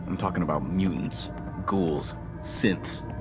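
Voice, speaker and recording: A stern, contemptuous squad officer from a video game. His voice is low and his manner curt and condescending. Music plays clearly behind him. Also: slow. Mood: stern, suspicious. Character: gruff, hostile, contemptuous male military squad officer. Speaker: military officer handing out assignments.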